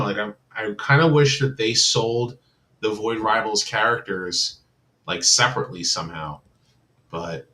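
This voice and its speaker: A man's voice: lowish voice, a bit bright. A man hosting a casual livestream chat with his viewers, rambling loosely and leaning heavily on filler words. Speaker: livestream host. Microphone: computer mic on a livestream.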